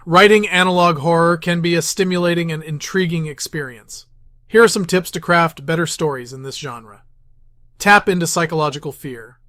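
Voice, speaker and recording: Higher-pitched man narrating a scripted tutorial. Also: a bit bright, dry recording, clean studio sound. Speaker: host of writing tips series.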